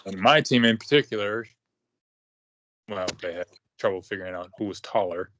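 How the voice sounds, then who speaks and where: Dry low-voiced Pittsburgh man. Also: fairly bright tone, no echo. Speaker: podcast co-host. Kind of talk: casual chat.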